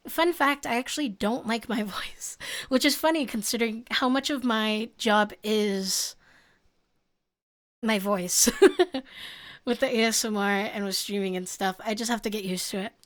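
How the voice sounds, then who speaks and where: A woman's voice: fairly high pitch, a little slow, a bit bright. A young woman streamer chatting casually with her viewers and giggling often. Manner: giggly, chatty, reads chat aloud.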